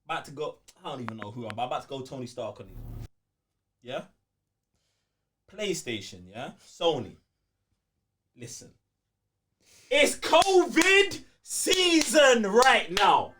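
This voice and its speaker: Animated, high-pitched London man ranting. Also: a little slow, long pauses, a little hiss or hum. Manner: ranting, emphatic, repeats phrases for emphasis, slangy. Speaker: podcast host. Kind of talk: heated casual gaming podcast banter.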